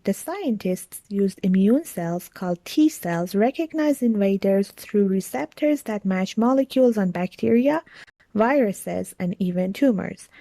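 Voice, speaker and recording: A woman reading an academic passage aloud in a measured, even way, as a model answer for exam practice. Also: mid-range voice, creaky, no echo.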